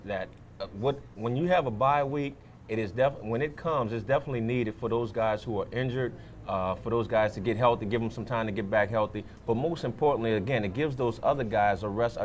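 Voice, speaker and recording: A man's voice: mid-range voice, brisk, slight background noise. A man giving conversational football analysis as a fill-in co-host, restating his points for emphasis. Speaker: sports show guest co-host.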